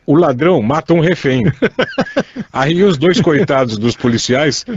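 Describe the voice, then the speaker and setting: A man's voice: a little high, lively intonation, unhurried, slightly rough. A man with a Brazilian accent co-hosting a radio show in casual conversation, often repeating and circling back on his phrases. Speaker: radio co-host. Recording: dull lo-fi radio recording.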